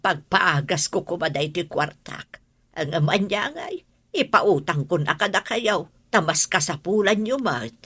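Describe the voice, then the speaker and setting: A woman's voice: on the low side, expressive, measured pace, a bit bright, husky, full character voice. A woman playing a fretful, wary older mother in an Ilocano Filipino radio drama. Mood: reluctant, fretful.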